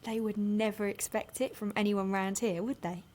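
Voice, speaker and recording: A woman playing a soft, earnest protester in an audio drama, breathy and tentative. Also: medium pitch, fairly even intonation, moderate pace, slight background noise, natural voice. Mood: tentative, hopeful.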